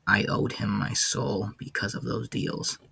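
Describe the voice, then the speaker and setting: A man playing a weary, haunted ancient warden in an audio log, speaking in a halting, somber way with a gravelly, husky low voice. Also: fairly even intonation, bright, forceful tone, heavily acted. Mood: weary, grim. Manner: halting, somber, hesitant delivery. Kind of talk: character audio log, slow monologue.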